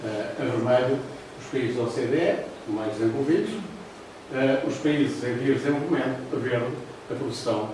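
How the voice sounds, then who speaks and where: An older man with a European Portuguese accent giving a formal lecture over slides, pausing often with fillers. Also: medium pitch, background noise. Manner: talks through slides, hesitant fillers. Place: classroom with projector. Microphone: far room camera. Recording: roomy echo from far off.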